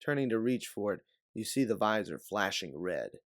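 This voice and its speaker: A man reading scripted second-person story narration as an audiobook narrator, in a dramatic, deliberate way. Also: on the low side, expressive, no room sound, studio quality.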